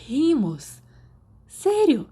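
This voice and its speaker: A woman voicing a teasing, exasperated teenage boy in animated audiobook dialogue. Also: high voice, extremely slow, voice pushed up, heavily acted. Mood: exasperated, awkward.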